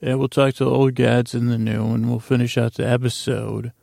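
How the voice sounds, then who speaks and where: A man hosting a bedtime podcast, meandering and halting in a creaky voice, with an easy, soothing delivery meant to lull listeners to sleep. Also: mid-range voice, level tone, mellow, dry recording, studio quality. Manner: meandering, halting, trails off and restarts. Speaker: podcast host.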